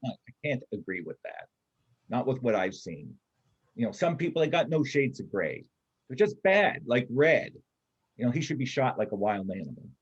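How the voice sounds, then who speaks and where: A man performing a reader's theater part as a gruff, cynical bank robber and combat veteran, blunt and colloquial in his talk. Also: medium pitch, big pitch swings, normal speed, muffled recording. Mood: heated, insistent. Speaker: amateur church play performer.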